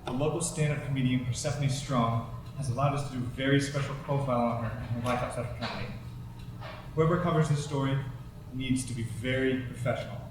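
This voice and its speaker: A man playing a brisk college newspaper editor in naturalistic film dialogue, recorded in an echoey space at a distance. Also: medium pitch, steady tone, natural voice. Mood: brisk, businesslike. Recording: very echoey, distant, faint noise.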